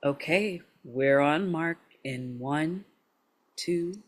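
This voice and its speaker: A man's voice: fairly high pitch, extremely slow. A man playing an over-friendly, upbeat corporate minder with slangy encouragement in a table read. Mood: encouraging.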